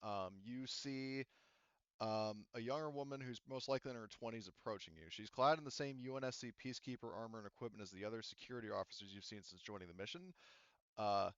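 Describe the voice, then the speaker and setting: A man's voice: fairly low pitch, fast talker, smooth, no room sound, lo-fi sound. A man working as a game master, narrating and explaining a tabletop roleplaying game in a rambling, informal way.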